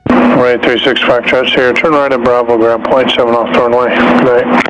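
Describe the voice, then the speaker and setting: Flat male air traffic controller on radio. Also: medium pitch, fairly quick, highs cut off. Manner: clipped, procedural phrasing. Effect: aviation radio. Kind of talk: terse radio clearances. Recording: radio transmission with loud cockpit noise.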